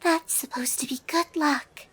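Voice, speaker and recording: A woman voicing a shy, gentle young female cartoon pony with a soft, breathy, hesitant voice in a story reading. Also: high-pitched, fairly even intonation, voice pushed up. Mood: shy, informative. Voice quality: soft, breathy, near whisper. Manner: timid, hesitant, halting.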